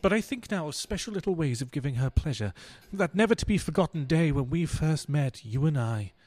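Man acting a pompous, lovelorn husband, animated. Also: on the high side, brisk. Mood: lovelorn, self-pitying. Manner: earnest, florid, grandiose. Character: pompous, self-pitying, self-deceiving philandering husband. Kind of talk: stage-style radio play acting.

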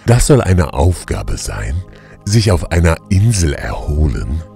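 A man's voice: rumbling low, big pitch swings, a little slow, gravelly, warm tone, soft background music, heavily acted. A man playing a sardonic, menacing god of the underworld in an audio drama, with dry sarcasm. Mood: dry, sarcastic. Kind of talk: German audio drama acting.

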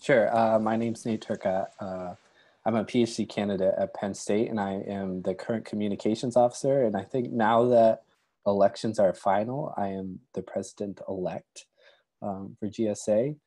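Brief: young man, low mellow voice, fighting a cold, relaxed webinar moderator, earbud mic at home. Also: moderate pace. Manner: easygoing, many ums and uhs. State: has a cold, near losing his voice. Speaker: doctoral candidate, webinar moderator. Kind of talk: casual webinar talk. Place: small room at home, indoors. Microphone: earbud headset mic, video call.

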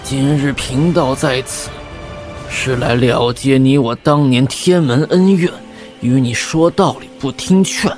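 An actor playing a calm, aloof Taoist master in an audio drama, measured, lofty and quietly defiant. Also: male voice, medium pitch, soft background music, close to an everyday voice. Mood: solemn, resolute.

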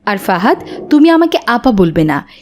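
A woman's voice: mid-range voice, very animated, normal speed, a little hiss or hum, close to an everyday voice. A woman voicing a firm but kindly schoolteacher in a cartoon, instructing her pupils. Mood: stern. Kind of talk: cartoon voice acting.

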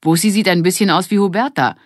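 A woman's voice: on the low side, fast, no echo, studio quality, pitched above the performer's own voice, lightly acted. A woman voicing a confident, teasing older girl who leads a gang, in a children's audiobook. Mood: amused.

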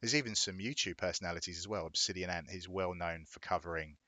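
A low-pitched man with an English accent talks through a tutorial in a chatty, informal way. His voice has a bright, forceful edge and is recorded close and dry, with words repeated for emphasis. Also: fast, dry recording. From England. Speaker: tutorial host. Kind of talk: casual explanatory software tutorial.

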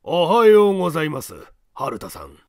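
Man acting out old male villain, creaky and husky, courteous, sly, swooping intonation, audiobook character. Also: on the high side, very animated, no echo, clean studio sound. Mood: politely teasing. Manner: exaggeratedly polite, unhurried, teasing. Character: hoarse old man phone caller, polite, sly thief.

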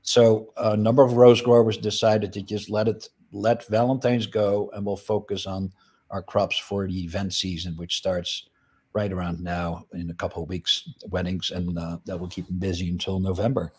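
A man's voice: deep voice, flat delivery, moderate pace, darkish tone, breathy edge. A middle-aged man from the Netherlands, explaining his business in a video call interview with many fillers. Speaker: guest, flower business owner. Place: indoor room.

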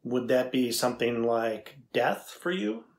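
A middle-aged man playing a thoughtful AI researcher in a dramatized interview, deliberate and conversational as he probes. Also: mid-range voice, animated, natural voice. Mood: probing, thoughtful. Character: thoughtful male AI researcher questioning a machine. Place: indoor room with backdrop, seated.

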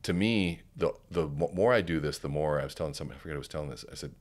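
Man, very deep voice, resonant baritone, deliberate pauses, studio mic, podcast guest. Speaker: podcast guest, speaker coach. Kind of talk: conversational podcast interview. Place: podcast studio with curtained backdrop. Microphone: studio microphone on a stand, headphones on.

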